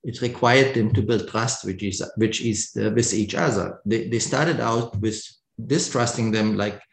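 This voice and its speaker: Middle-aged male guest author, born in Germany; gravelly, echoey room sound, halting thoughtful delivery. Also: on the low side. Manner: thoughtful, many fillers and restarts. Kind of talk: conversational interview.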